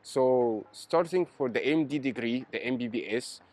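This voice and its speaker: A man's voice: medium pitch, moderate pace, a little mellow. A man in his twenties from Egypt, an intern doctor answering interview questions outdoors, hesitant, filling with "you know, like". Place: outdoors in a park.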